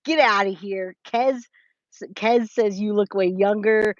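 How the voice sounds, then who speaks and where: A woman's voice: medium pitch, takes it slowly, no room sound. A woman in her forties with an American accent and a mid-range voice talks off the cuff to livestream viewers. She is informal and emphatic, circling back to repeat her points. The sound is close and dry but a little dull. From California, United States. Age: 40s. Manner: informal, addresses viewers as guys, babe, my love. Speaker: true crime livestream host. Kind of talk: casual livestream chat, replying to viewers' comments.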